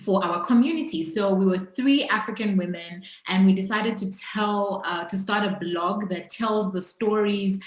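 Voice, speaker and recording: A woman with a Zambian accent telling her personal story as an interview guest, in a reflective, halting way with pauses mid-thought. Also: medium pitch. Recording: roomy, echoing sound.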